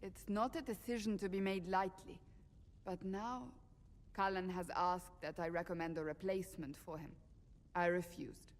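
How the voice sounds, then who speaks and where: A woman's voice: fairly low pitch, steady tone, moderate pace, natural voice. A woman playing a stern, forthright and principled warrior in a fantasy video game, speaking bluntly and formally. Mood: firm. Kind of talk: dramatic video game dialogue. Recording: reverberant hall.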